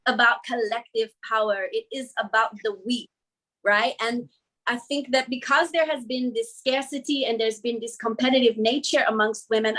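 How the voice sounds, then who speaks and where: A woman's voice: on the high side, a bit bright, low-quality recording. Earnest Australian woman, flowing delivery. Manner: earnest, flowing, often adds "you know". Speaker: guest speaker. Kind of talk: reflective interview answers.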